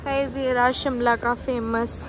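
A woman's voice: on the high side, level tone, a little slow. A young woman vlogging casually to her viewers on a busy outdoor street, chatty and teasing, filmed on a phone held at arm's length. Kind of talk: casual travel vlog. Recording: crowd and street noise.

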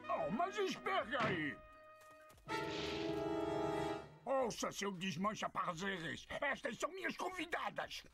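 A man's voice: high voice, wildly swooping pitch, unhurried, exaggerated voice. A man with a Brazilian accent playing a blustering, scheming middle-aged walrus in a cartoon dub, theatrical and full of exclamations. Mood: angry. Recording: loud music under the voice.

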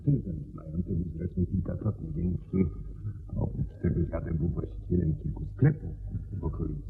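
Deep creaky voice, troubled country gentleman, measured and formal, radio drama. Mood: grave, recounting. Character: worried gentleman landowner, male, gloomy. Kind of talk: radio play acting. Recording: tinny radio recording with hum.

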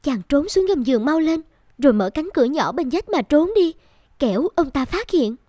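An actress playing an alluring young maiden from a painting in an animated fairy tale, coy and coaxing. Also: female voice, high-pitched, moderate pace, no echo, clean studio sound. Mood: anxious.

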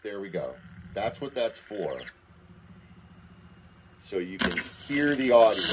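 A man's voice: low voice, heavy background noise. A man presenting a casual, unscripted product demonstration, talking as he goes. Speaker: presenter demonstrating audio equipment. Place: indoor room at home, beside a tape deck.

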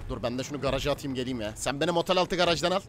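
Fast-talking man streaming a game. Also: medium pitch, crowd noise. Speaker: streamer, roleplay gamer. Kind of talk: casual in-game chat while streaming. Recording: clear car engine noise behind. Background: game car engines and street sounds.

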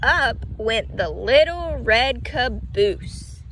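A woman's voice: on the high side, lively intonation. A woman reading a children's picture book aloud in a teacherly way, explaining and asking questions. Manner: teacherly, slow and clearly paced, asking questions. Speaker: read-aloud storyteller for children. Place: outdoors on grass. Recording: outdoor ambient noise behind voice.

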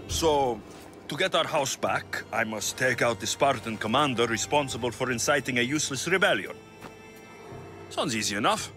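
Bold, forceful warrior hero. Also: male voice, medium pitch, animated, moderate pace. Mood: wry. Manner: blunt, confident. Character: bold, blunt, confident young Spartan warrior hero. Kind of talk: dramatic video game acting. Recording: music behind dialogue.

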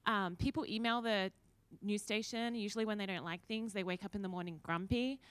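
Australian woman with a smooth voice. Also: mid-range voice, steady tone, a little fast, fairly bright tone, no room sound. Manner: chatty and anecdotal. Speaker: panelist, former TV news anchor. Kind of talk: conference panel answer. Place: conference room with audience, indoors. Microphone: handheld mic.

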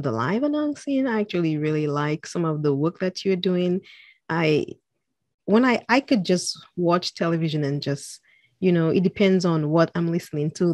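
A woman podcast host with a lowish voice asks her guest questions, often pausing on fillers. The call audio is dry and close to the mic. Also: darkish tone, no room sound. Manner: hesitant, with many fillers. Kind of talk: interviewing a guest. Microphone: video call with a dark foam mic.

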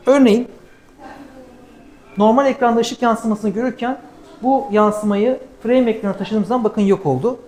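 A woman's voice: on the high side, darkish tone. A woman giving a live product demonstration, explaining things step by step as a presenter.

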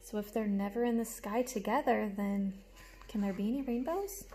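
A young adult woman reading a storybook aloud to children like a teacher, with animated, explanatory asides, recorded in a room at home. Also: medium pitch, moderate pace. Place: small room at home, indoors. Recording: clear background noise, room ambience.

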